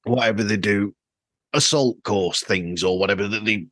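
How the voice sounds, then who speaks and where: A man's voice: on the low side, normal speed, a bit bright, no echo. Man hosting, dry close sound. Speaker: podcast host. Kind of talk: casual interview chat.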